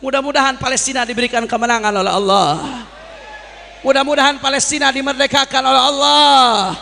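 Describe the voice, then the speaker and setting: Male Islamic preacher, forceful sharp tone, gravelly edge, impassioned, repetitive rhetorical delivery, long reverb. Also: very high voice, steady tone, fairly quick. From Jakarta, Indonesia. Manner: impassioned oratory with rhetorical questions and repeated phrases. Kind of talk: sermon to a congregation.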